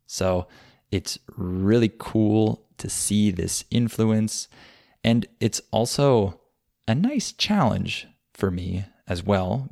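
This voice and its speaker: A man calmly explaining why he studies a language, thoughtful and pausing often with fillers. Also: lowish voice, gravelly, no room sound, studio quality. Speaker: language learner. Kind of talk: unscripted personal explanation.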